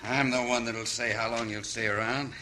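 A male actor as a cruel outlaw chief with a very sharp, forceful mid-range voice. He taunts in a folksy American cowboy drawl, in the manner of an old radio western. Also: mid-range voice, steady tone, normal speed, slight background noise, lightly acted. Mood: gloating. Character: Jocko Lemming, gloating cruel outlaw gang boss.

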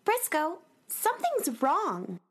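A woman's voice: very high-pitched, huge pitch swings, slow, heavily acted. A woman playing a cheerful, curious eight-year-old space girl in a children's radio play. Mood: curious, puzzled.

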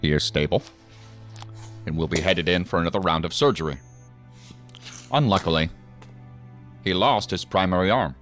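A commanding middle-aged boss of federal agents with a low voice. He speaks formally and with clipped authority, as in a sci-fi audio drama, with music clearly underneath. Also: moderate pace, long pauses, fairly bright tone. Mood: brisk, businesslike. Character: stern, commanding middle-aged male federal agency superior. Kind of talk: science fiction audio drama acting.

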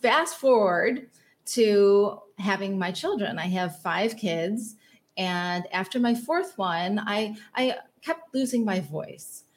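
A woman's voice: medium pitch, lively intonation, slow. A woman in her forties, from the Chicago area, talking openly about her own story as an interview guest. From North Shore of Chicago. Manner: open, talkative, storytelling. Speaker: health coach guest. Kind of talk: casual interview.

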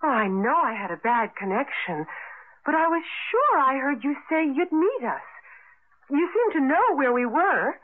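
An actress playing an earnest, bewildered young married daughter in a vintage radio drama. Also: female voice, high-pitched, sing-song, moderate pace. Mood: bewildered, insistent. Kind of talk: 1970s-80s radio drama acting. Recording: lo-fi vintage radio broadcast, music behind.

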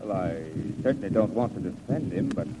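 A man's voice: deep voice, animated, a little fast. A man playing a cautious, serious army major in a vintage radio serial, with a formal manner. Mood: puzzled, wary. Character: cautious, formal major recruiting for government mission. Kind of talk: 1930s radio adventure serial acting. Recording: muffled, very noisy vintage radio recording.

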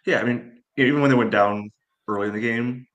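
A man giving casual sports analysis as a podcast panelist, in halting phrases padded with filler. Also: medium pitch, a bit bright, muffled recording. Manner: halting, often says "you know".

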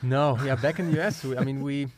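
A man's voice: mid-range voice, steady tone, quick pace, no echo. A German man in his thirties with a German accent, a guest on a podcast, speaking hesitantly with many restarts. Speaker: guest, company co-founder. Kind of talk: casual interview talk.

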